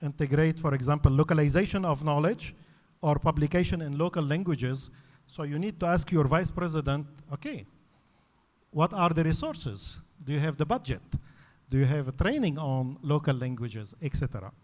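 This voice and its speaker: A man's voice: on the high side, normal speed, a little mellow. A man from Lebanon, a native Arabic speaker, giving an academic conference talk in an explanatory way with frequent fillers and restarts. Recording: reverberant hall.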